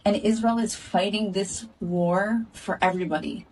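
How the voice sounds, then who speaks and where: A woman giving an earnest, measured direct-to-camera statement, recorded in Israel. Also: mid-range voice, darkish tone, far from the mic, slight background noise, poor audio. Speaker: activist speaking in a video clip.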